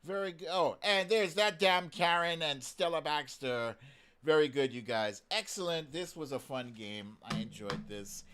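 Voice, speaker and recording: Man, fairly high voice, live stream host, casual chatty delivery, playful, exclamatory. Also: measured pace, a bit bright, smooth. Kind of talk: casual live stream chat with viewer shout-outs.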